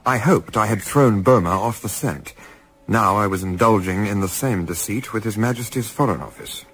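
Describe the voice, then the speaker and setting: A man playing a composed, astute Edwardian gentleman turned amateur spy, speaking formally in a radio play as narrator and lead. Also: on the low side, normal speed, husky, poor audio. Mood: sombre, reflective. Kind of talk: radio play acting with first-person literary narration.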